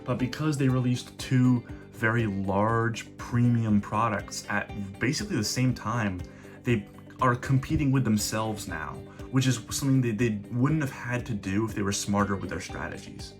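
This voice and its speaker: A man's voice: medium pitch, normal speed. A man coming down with a cold, hosting his own channel and explaining in a casual, rambling way with frequent restarts. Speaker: host of a card collecting channel. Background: background guitar music.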